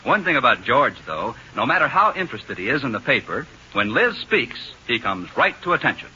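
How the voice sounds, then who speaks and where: Husky, bright male radio announcer. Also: medium pitch, very animated, fairly quick. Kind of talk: 1940s radio comedy narration. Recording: dull old radio recording.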